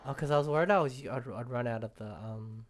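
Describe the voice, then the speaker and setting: A game streamer giving casual, halting commentary while thinking aloud during play. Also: medium pitch, a little hiss or hum.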